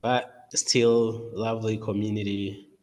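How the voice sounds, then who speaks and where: A man's voice: lowish voice, level tone, slow, darkish tone. A man in his twenties from Rwanda, a podcast guest talking earnestly from his office over a video call, with many fillers and restarts. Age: 20s. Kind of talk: podcast interview.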